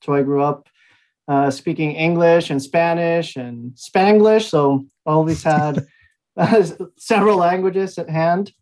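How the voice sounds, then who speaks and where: A man in his thirties from Mexico, speaking as an interview guest, telling his story in a relaxed way with frequent fillers and small laughs. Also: fairly high pitch, slow pace. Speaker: guest, language institute founder. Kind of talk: conversational interview answers.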